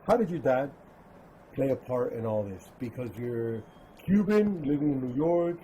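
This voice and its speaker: A man's voice: medium pitch, animated, moderate pace, dark tone, a little hiss or hum, rough recording. A man born in Cuba, hosting a casual interview and often chiming in with short agreements. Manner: frequent short agreeing replies. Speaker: podcast host.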